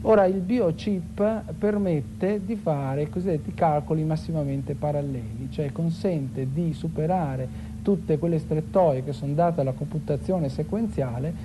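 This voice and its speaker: A man giving an explanatory, lecture-like talk as a science expert, in a measured, didactic way. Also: a little high. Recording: clear background noise and ambience.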